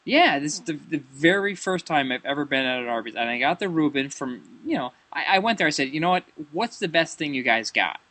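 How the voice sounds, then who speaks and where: A man's voice: fairly high pitch, animated, brisk, hardly any pauses, bright, forceful tone, dry recording. A man improvising a prank phone call as a comedy host, rambling and stammering and often repeating himself.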